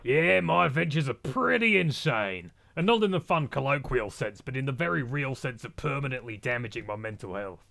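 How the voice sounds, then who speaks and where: A man's voice: mid-range voice, expressive, brisk, creaky, hardly any pauses, fairly bright tone. A British man playing a middle-aged, veteran cosmic monster hunter in a comedy cartoon, blunt, bossy and sweary, bragging casually as if to a documentary camera. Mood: weary. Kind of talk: mockumentary comedy voice acting, talking to camera.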